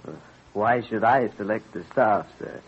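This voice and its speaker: An actor playing a modest older clockmaker in an old radio drama, polite, hesitant and deferential, with a husky voice. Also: male voice, lowish voice, unhurried. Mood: reluctant, humble. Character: modest, deferential elderly clockmaker, reluctant but dutiful. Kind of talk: 1930s-40s radio drama acting. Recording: muffled old radio recording.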